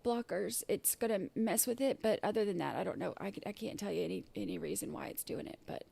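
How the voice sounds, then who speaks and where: A woman hosting a casual livestream, her throat scratchy and irritated, clearing it often and rambling with many fillers and restarts. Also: mid-range voice, fast talker, dark tone. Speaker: livestream host.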